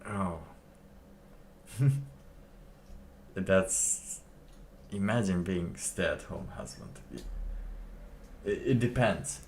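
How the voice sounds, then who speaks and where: A man with a very low voice, talking slowly as he reacts to a clip on stream. He muses aloud and chuckles to himself. A faint hiss sits under the recording. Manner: slow, musing, laughs to himself. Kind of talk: casual commentary.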